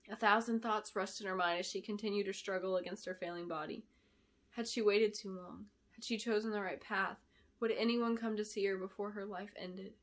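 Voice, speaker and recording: Woman, bright forceful voice, dramatic fantasy narration, expressive storytelling, deliberate delivery. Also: medium pitch. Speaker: author reading her book.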